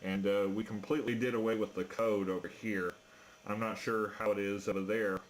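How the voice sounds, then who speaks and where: American male ham operator, deepish voice, hesitant explanatory chat, slight noise behind. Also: fairly even intonation, a little fast, low-quality recording. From United States. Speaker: amateur radio operator. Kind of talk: casual ham radio chat.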